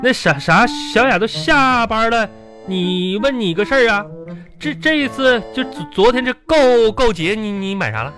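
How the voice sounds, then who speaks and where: Stammering, high-voiced Northeastern Chinese office suitor. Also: male voice, animated, normal speed. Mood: sheepish, chatty. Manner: stammering, rambling, repeats words. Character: awkward, broke, persistent male office suitor, short and boastful. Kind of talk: radio comedy sketch acting. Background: music.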